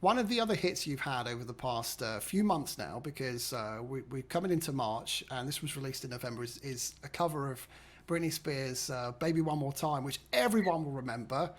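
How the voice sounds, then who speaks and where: A man's voice: mid-range voice, lively intonation, brisk. A man co-hosting an interview, upbeat and promotional, plugging songs to the viewers. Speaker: co-host.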